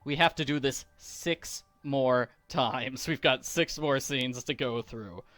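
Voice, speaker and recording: A man's voice: medium pitch, takes it slowly, fairly bright tone, dry recording. A man giving a casual, playful commentary as he walks through a video game. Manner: playful, jokey. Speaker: video game walkthrough host. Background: faint game music.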